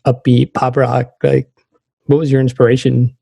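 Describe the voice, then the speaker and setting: Male podcast interviewer, dark mellow tone, casual, dry recording. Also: medium pitch, little pitch movement, normal speed, creaky, breathy edge, dry recording, lo-fi sound.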